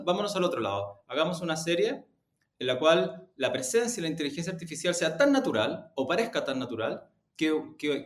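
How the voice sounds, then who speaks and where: A man giving conversational interview answers, explaining things in a hesitant way as he searches for words. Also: on the high side. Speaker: media executive, interview guest. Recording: roomy, echoey sound.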